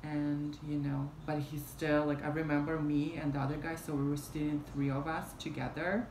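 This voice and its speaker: A woman's voice: low-pitched, level tone, moderate pace. A young woman telling a story casually on camera at home, rambling with many fillers and restarts, a fan running beside her. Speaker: vlogger. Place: small room at home, indoors, fan running. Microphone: camera in front at arm's length. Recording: roomy with fan noise behind. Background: fan hum.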